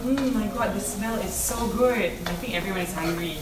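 A woman's voice: medium pitch, a little fast. A young Malaysian woman hosting a casual cooking vlog in her home kitchen, gushing and giggling as she chats. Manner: bubbly, giggly, gushing, code-switching. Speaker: vlogger host. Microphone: camera mic from a few feet away. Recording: roomy kitchen sound from a distance.

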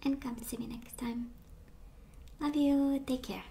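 Woman, tarot reader, voice giving out, fairly high, flat intonation, chatty, lots of fillers. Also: a little slow, vocal fry. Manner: chatty, reassuring, many fillers and restarts. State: losing her voice, clearing throat. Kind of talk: casual tarot reading to camera. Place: indoors at a table of tarot decks.

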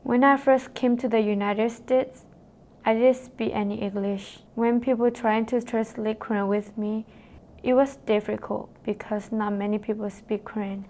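A woman's voice: fairly high pitch, normal speed. Earnest teenage girl with a Karen accent. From Thailand. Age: teens. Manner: steady, earnest reading. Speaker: narrator. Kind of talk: scripted personal narration. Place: voice-over.

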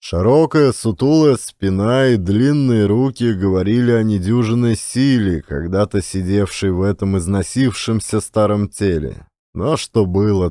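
A man narrating classic literary fiction as an expressive audiobook storyteller. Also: lowish voice, no room sound, clean studio sound.

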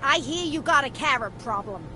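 Casting: high-voiced lady rabbit, brisk, self-assured and cheerful, kids' cartoon game. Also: animated, pushed and bright, a little hiss or hum. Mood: confident. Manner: confident, cheery swagger. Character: confident, brisk adult female rabbit, cheerfully heroic. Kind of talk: children's cartoon game voice acting. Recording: music behind the voice.

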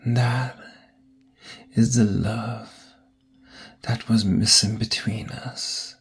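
A man playing a brooding, seductive vampire lover in an ASMR roleplay, often whispering, with intimate dramatic pauses. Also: on the low side, fairly even intonation, slightly rough, exaggerated voice. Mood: tender, seductive.

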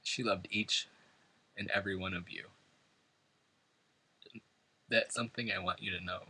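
A man's voice: low-pitched, fairly even intonation, moderate pace, sharp tone, slightly rough, rough recording. A man from New York State reading a prepared eulogy at a funeral, earnest and emotional. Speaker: son giving a eulogy. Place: funeral service before family and friends.

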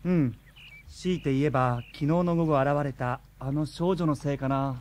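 An actor playing a moody, dreamy schoolboy poet in a radio drama. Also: male voice, medium pitch, normal speed, a little mellow, natural voice. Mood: brooding.

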